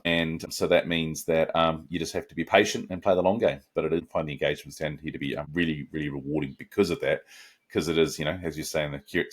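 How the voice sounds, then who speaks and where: Deep-voiced New Zealand man, relaxed and reflective. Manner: relaxed, reflective, with frequent restarts and asides. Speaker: guest. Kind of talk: podcast interview answers.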